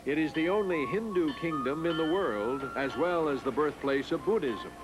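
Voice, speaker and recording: A man reading scripted documentary narration in a measured, formal announcer manner. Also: on the high side, lively intonation, low-quality recording. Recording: narration over clear background music.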